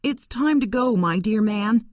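A woman's voice: mid-range voice, lively intonation, measured pace, no room sound, studio quality, voice pushed up, natural voice. A woman voicing a kind, tearful lady of a farm who speaks tenderly in a storybook reading.

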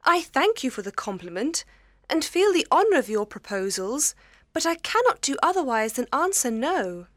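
A woman playing a spirited young gentlewoman in a literary audiobook, polite, articulate and firm. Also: high voice, sing-song, moderate pace, no echo, studio quality. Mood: politely firm. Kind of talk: dramatised literary audiobook reading.

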